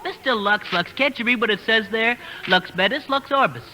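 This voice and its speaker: A man's voice: sits high, moderate pace, pushed and bright. An actor playing a slangy, exclamatory young male college student in an old radio comedy. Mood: brash, puzzled. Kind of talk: 1940s radio comedy acting. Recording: noisy old radio broadcast recording.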